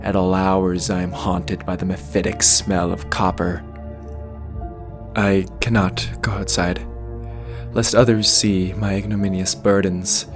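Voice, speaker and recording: A man's voice: low-pitched, little pitch movement, unhurried. A man playing a deranged, grandiose murderer in a theatrical gothic monologue, speaking in pompous, melodramatic, archaic phrases. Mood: anguished, haunted. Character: deranged, grandiose sandwich-shop murderer confessing his crime. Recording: faint music behind the voice.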